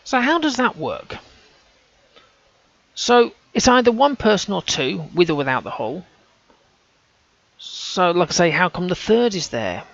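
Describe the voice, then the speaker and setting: Gravelly man musing aloud, lo-fi sound. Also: high voice, big pitch swings, measured pace, long pauses, a bit bright, dry recording. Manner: hesitant, self-correcting, casual. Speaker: amateur researcher. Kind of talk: thinking-aloud commentary over on-screen images.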